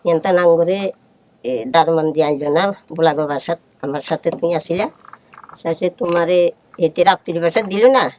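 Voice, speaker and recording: Low-voiced rural Bangladeshi woman. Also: poor audio. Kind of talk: informal recounting of past events.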